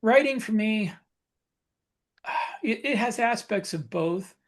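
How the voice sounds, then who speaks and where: A man's voice: high-pitched, lively intonation, slow pace. A man co-hosting a podcast in casual chat, thinking aloud in a reflective, conversational way. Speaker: podcast co-host and writer.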